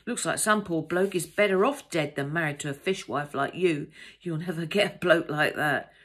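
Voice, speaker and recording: A woman's voice: deep voice, normal speed. A woman voicing a talkative, pompous older man in an audiobook, blustering and patronising in colloquial speech. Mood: scornful. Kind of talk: audiobook character dialogue.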